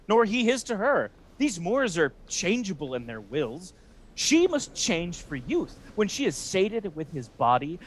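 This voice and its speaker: A man playing a scheming, resentful Venetian ensign in a Shakespeare radio play, persuasive and theatrical. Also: a little high.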